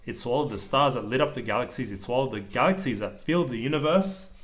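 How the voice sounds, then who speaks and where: A man reading a children's picture book aloud to a small child, pausing to explain things in simple words. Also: medium pitch, animated. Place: indoors at a table over the open book.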